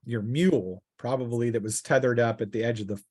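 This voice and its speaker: A man running a tabletop fantasy game as game master, improvising narration and often pausing mid-sentence. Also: mid-range voice, darkish tone, slightly rough, no echo, studio quality.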